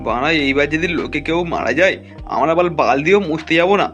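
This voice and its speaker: A man's voice: on the high side, lively intonation, quick pace, natural voice. A man playing a brash, nosy, crude village lad in a comic cartoon. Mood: brash curiosity. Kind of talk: amateur comic cartoon dub. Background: faint background music.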